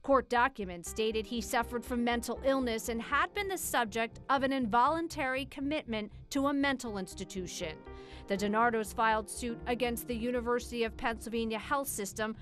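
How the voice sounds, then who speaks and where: A woman's voice: on the high side, normal speed, faint music behind. An American woman reading scripted true-crime documentary narration in a news style.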